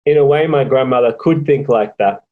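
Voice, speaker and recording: A young man with an Australian accent, speaking slowly and reflectively with many pauses, like an interviewee telling his family's story. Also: medium pitch, narrow, tinny audio. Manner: slow and thoughtful, with frequent pauses and fillers. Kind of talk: reflective personal testimony.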